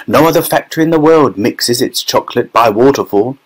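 A man's voice: mid-range voice, fairly quick, close to an everyday voice. Storybook factory owner, acted by a man: exuberant male showman, effusive, emphatic, proud and theatrical. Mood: proud, excited. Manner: effusive, emphatic, relishing his words.

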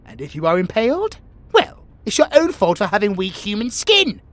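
A British man voicing a pompous, bossy but secretly affectionate tiger warrior in a comedic, creaky-voiced audio roleplay. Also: high voice, wildly swooping pitch, moderate pace, fairly bright tone, dry recording, heavily acted. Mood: haughty. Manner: pompous, grandiose, mock-imperious.

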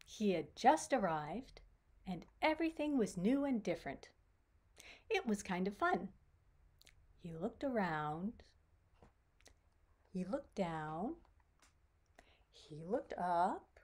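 A middle-aged woman reading a children's picture book aloud in a deliberate, expressive storytelling manner. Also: medium pitch. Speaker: author reading her own children's book. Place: small room at home with bookshelves.

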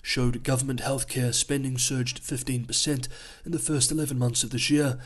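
A man hosting his own news analysis channel, reading a scripted voice-over in a measured, explanatory way, his voice going hoarse. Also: medium pitch, little pitch movement. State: losing his voice.

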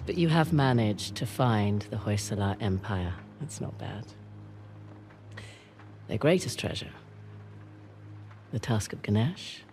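A woman playing a brash, quick-witted treasure-hunting thief in a video game, with a sardonic, cocky delivery. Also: very deep voice, lively intonation, a little mellow, a little hiss or hum, exaggerated voice. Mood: smooth bluffing. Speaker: voice actor playing an adventurer. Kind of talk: cinematic video game acting.

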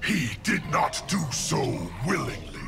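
A man's voice: a little high, huge pitch swings, a little slow. A man playing a cold, domineering ninja grandmaster in a video game, stern and menacing. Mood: angry, menacing. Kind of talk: scripted video game dialogue. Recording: clear music behind the voice.

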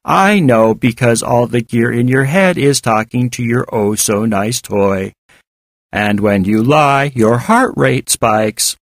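A man voicing a malicious, taunting female robot in an audiobook, with a hard, artificial voice and drawn-out, mock-sweet delivery. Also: mid-range voice, no echo. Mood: mock sincere. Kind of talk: audiobook character voice.

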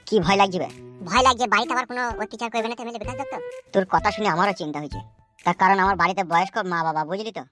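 A man's voice: high voice, very fast, music in the background, natural voice. A man voicing an anxious, foul-mouthed young village troublemaker in a comedy cartoon, bluntly arguing in a rural West Bengal accent. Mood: tense, bickering. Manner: blunt, argumentative, rambling.

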